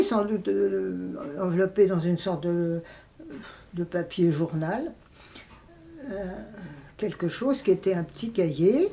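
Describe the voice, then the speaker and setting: An elderly woman, speaking reflectively in an interview, often pausing to search for words. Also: lowish voice, a little mellow, slight background noise. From France. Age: 80s. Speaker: interviewee, writer and translator.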